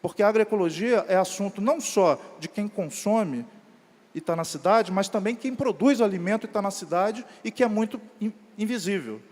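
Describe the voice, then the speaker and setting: A man's voice: sits high, normal speed, a little mellow. A man speaking on a seminar panel in an earnest, emphatic way. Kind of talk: seminar talk. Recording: reverberant hall.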